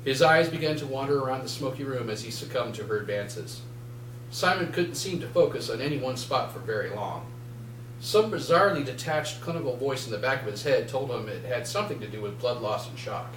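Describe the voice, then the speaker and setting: The recording is roomy, with a faint hum of noise. A man with a middle-pitched voice reads dark fiction aloud like an author at a live event. He delivers it in deliberate, short phrases. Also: expressive, recorded from a distance. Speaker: author reading from own novel. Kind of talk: live dramatic prose reading. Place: indoor bookshop reading event.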